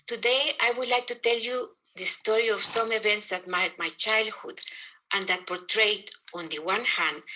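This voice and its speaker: Bright, formal Guatemalan woman narrating. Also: medium pitch, low-quality recording. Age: middle-aged. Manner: measured, formal. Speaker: presenter. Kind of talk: scripted narration.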